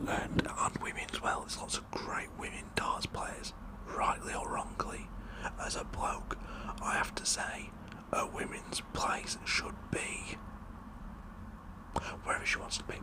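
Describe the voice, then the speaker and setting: A man's voice: unhurried, pauses often, low-quality recording. A British man whispering a rambling, jokey, blokey ASMR roleplay monologue. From United Kingdom. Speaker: ASMR performer.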